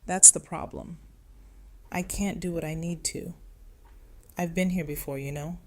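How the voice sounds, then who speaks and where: Woman as a tough grieving widow in an audio drama, really low voice, weary and frank. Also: fairly even intonation, unhurried, a little mellow.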